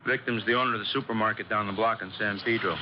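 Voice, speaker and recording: Guy doing a quick factual patrol cop briefing, lowish voice, dull hissy old radio. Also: steady tone, close to an everyday voice. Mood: brisk. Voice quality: brisk, factual report-style delivery. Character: brisk male stakeout patrol officer briefing. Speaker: police officer. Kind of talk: 1950s radio drama acting. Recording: muffled hissy 1950s radio broadcast.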